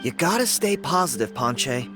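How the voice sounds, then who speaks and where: A casual young man, played by a man who teases his friend in an easy, lively voice. Clear game music plays behind him. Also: medium pitch, moderate pace, close to an everyday voice. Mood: teasing. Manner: casual, teasing.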